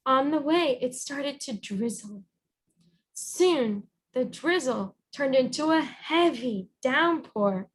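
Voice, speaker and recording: Higher-voiced woman teaching through a read-aloud. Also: lively intonation, slow, breathy edge. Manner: encouraging, instructive. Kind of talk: storybook reading with guiding questions.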